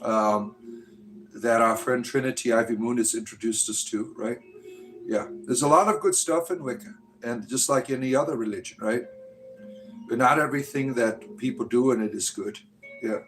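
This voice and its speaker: A man's voice: medium pitch, moderate pace, a bit bright, faint music behind, poor audio. A man in his fifties hosting a casual livestream, chatting with viewers in a rambling, joking way full of fillers. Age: 50s. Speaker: livestream host. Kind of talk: casual live-chat talk answering viewers.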